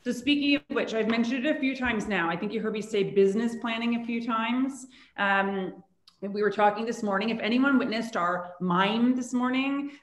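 A woman in her thirties hosts an office town hall on a video call, chatty and upbeat. Her voice sits at an ordinary middle pitch. The laptop mic picks up a roomy echo from the meeting room. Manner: chatty, upbeat, laughs easily. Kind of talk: casual video call announcements. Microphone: laptop camera on video call. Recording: echoey room.

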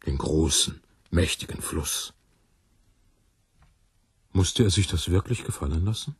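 A man reading a literary childhood recollection for radio in a musing, measured way. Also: deep voice, lively intonation, slightly rough, no room sound, heavily acted.

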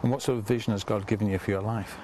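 An older man with a lapel mic, interviewing a student and speaking to camera outdoors in a relaxed, unhurried, affirming way. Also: on the low side, background noise. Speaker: ministry leader and host. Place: outdoors on a garden bench by a stone manor.

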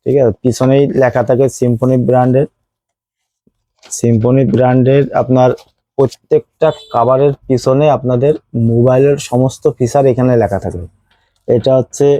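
A man's voice: mid-range voice, mellow, dry recording, poor audio. A man with a Bangladeshi accent giving a casual phone unboxing review as a seller, explaining things and repeating his points.

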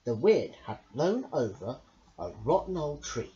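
A man reads a children's story as its host. He draws his words out theatrically at a slow pace, his pitch swinging widely. The recording sounds dull and lo-fi. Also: very animated, mellow. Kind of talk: children's picture-book narration.

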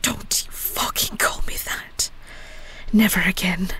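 A woman playing a bitter, defiant young female werewolf in an audio roleplay, breathy, hushed and biting. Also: on the low side, steady tone, slow. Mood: bitter, hurt. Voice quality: breathy, whispery. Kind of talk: dramatic audio roleplay acting.

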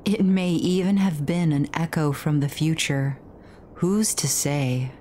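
A woman voicing a cryptic ghostly spirit in a horror game, speaking in a measured, formal, portentous way. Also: deep voice, dark tone, slight background noise. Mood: grave warning. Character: solemn, cryptic ghostly spirit warning of danger. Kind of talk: reading horror game dialogue aloud.

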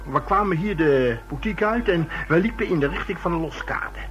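High-voiced evasive young man played by an actor. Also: animated, fairly quick, faint music behind, close to an everyday voice. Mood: hesitant. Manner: hesitant, stammering, defensive. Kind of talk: radio drama acting. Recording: dull lo-fi radio drama recording.

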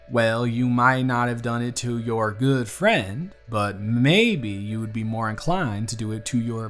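A man with a Southern US accent playing an earnest, charming young bartender in love in an intimate audio roleplay. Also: lowish voice. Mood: playful, gossipy. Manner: earnest, conversational, sweet-talking. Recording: music playing under the voice. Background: acoustic music.

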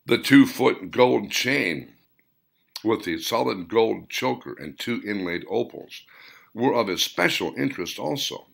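Male author-narrator, low-pitched and very animated, dramatic audiobook delivery in deliberate short phrases. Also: vocal fry. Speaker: author reading own novel.

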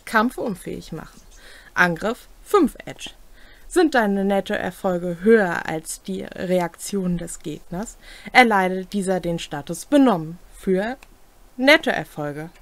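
A young woman reads game rules aloud at a slow, deliberate pace. She sounds close and dry, going through items like a list, with the odd short comment. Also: medium pitch, fairly bright tone, dry recording. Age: young adult. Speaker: co-host explaining tabletop game rules. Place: small home room, indoors.

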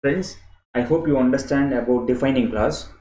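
A young man teaching English grammar in a slow screen-share lesson. He repeats himself a lot and keeps addressing the viewers as "friends.". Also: medium pitch, warm tone. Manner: slow and repetitive, often saying "friends" to the viewers. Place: small indoor room. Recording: echoey room with clear background noise. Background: keyboard clicks and typing.

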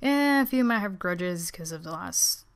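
A teenage girl with a naturally low voice, tired and subdued, giving a casual, rambling, hesitant update as a vlogger. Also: expressive, unhurried. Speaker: video channel creator.

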